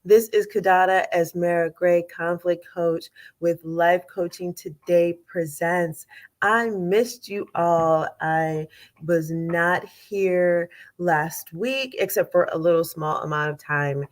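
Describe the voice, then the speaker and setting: A congested woman conflict coach hosting her show, chatting casually in a rambling way with many fillers and repeats. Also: low voice. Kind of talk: casual live show chat.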